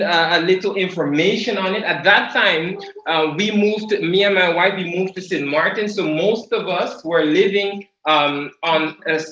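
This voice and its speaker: A man from Guyana sharing his ministry testimony at a table, halting and repeating words as he goes. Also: high-pitched, fairly bright tone. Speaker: ministry speaker. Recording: echoey muffled video call.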